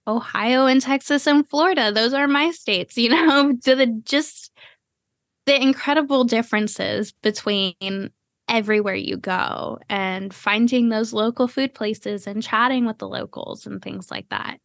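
A woman hosting a podcast in casual interview chat, talking quickly with lots of fillers. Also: a little high, gravelly, no room sound, clean studio sound. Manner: chatty, quick, with frequent "you know" fillers. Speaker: podcast host.

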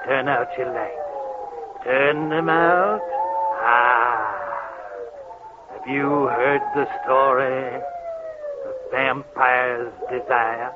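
Slow, eerie old hermit storyteller. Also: male voice, mid-range voice, big pitch swings. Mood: macabre glee. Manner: slow, drawn-out, cackling menace. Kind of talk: 1930s radio horror acting. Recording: scratchy, narrow-band 1930s radio, heavy noise.

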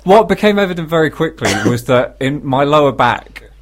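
A British man co-hosting a radio show, chatting casually and telling self-deprecating comic stories in an animated way. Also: a little high, moderate pace. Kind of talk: casual comic chat, anecdote.

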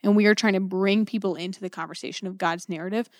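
A woman with a medium-pitched voice leads a conversational discussion about faith as host. She frames the points and hands over questions, recorded close and dry with little room sound. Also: fairly even intonation, fast, darkish tone, no room sound. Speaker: host leading a read-along discussion.

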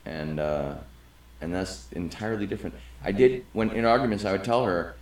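Low-voiced middle-aged man, roomy echo. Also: a little fast, darkish tone. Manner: rambling and earnest, with frequent restarts. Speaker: podcast co-host. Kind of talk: casual conversation. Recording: roomy, echoey sound.

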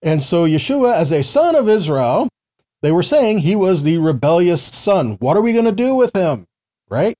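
A man's voice: sits high, big pitch swings, normal speed, warm tone. A man teaching scripture informally, reading verses and explaining them in a didactic way, often posing questions to the listener. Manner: didactic, asking rhetorical questions, seeking agreement. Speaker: Bible teacher.

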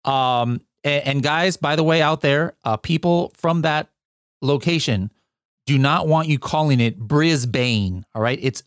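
A man's voice: on the high side, a little slow, clean tone, dry recording, studio quality. A man hosting a podcast, upbeat and joking, often tripping over his words as he asks questions. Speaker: podcast host. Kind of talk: casual podcast interview.

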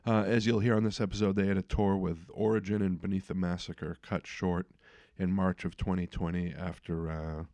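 A middle-aged man hosting a podcast, chatting casually with many fillers and trailing off quietly. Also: sits low, fairly even intonation, normal speed, hardly any pauses. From eastern United States. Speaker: podcast host. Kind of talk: casual interview chat.

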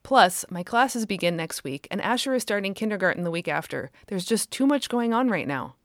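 A woman voicing a patient, firm mother who speaks in a calm, measured way. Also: mid-range voice, no echo, clean studio sound, natural voice.

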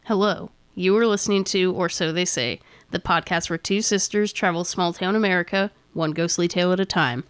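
A woman's voice: fairly low pitch, steady tone, brisk, few pauses, smooth, no room sound. A woman podcast co-host with a slightly nasal voice, chatting in a rambling, laughing, flustered way. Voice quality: a little nasal. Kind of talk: casual chat.

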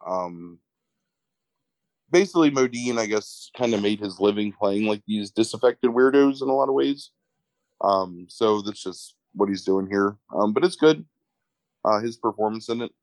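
A young man, congested, describing a movie in a casual, halting way full of fillers. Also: lowish voice, expressive, a little mellow, no room sound, poor audio. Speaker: movie podcast co-host. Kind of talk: casual film review chat.

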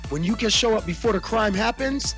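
An American man hosting a casual podcast chat, animated and slangy, with a deep voice that jumps in pitch for emphasis. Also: a little fast, soft background music. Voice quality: deep bassy voice. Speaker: podcast host.

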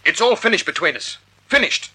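A man's voice: high voice, animated, brisk, bright, forceful tone, slight background noise, heavily acted, low-quality recording. An actor playing an agitated, bitter man on the run in 1940s radio drama style. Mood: bitter, disgusted. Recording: old radio drama recording.

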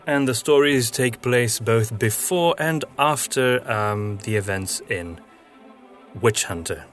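A man's voice: mid-range voice, takes it slowly. Man giving a podcast update over music. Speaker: podcast host and audio drama writer. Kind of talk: podcast news update, talking to listeners.

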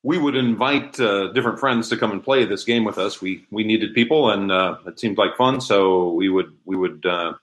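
A man's voice: lowish voice, moderate pace, few pauses, a bit bright. A middle-aged man speaking as an interview guest, telling a story casually.

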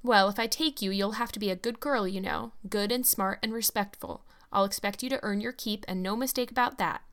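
A woman reading aloud, voicing a sharp, stingy, hard-driving middle-aged woman who talks curtly. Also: mid-range voice, fairly quick, lightly acted. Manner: curt, blunt, ungracious.

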